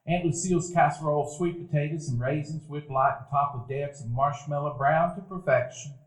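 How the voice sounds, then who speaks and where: An actor narrating a radio play as a grown man recalling his boyhood, in a measured, reflective storytelling manner. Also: a little high, close to an everyday voice. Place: dinner theater stage before a live audience. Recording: echoey live stage recording.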